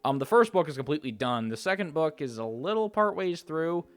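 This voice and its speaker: A man's voice: on the high side, very animated, fairly quick, clean tone, dry recording. A man giving casual lore commentary as a video host, speaking informally and emphatically with opinionated, slangy phrasing. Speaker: video essayist, channel host. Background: background music.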